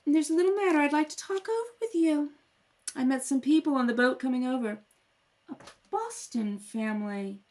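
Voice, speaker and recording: Woman acting a cool, sly, lower-toned socialite. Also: a little mellow. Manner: smooth, insinuating, controlled. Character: cool, sly, scheming young society woman Cornelia. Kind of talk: 1930s radio comedy acting.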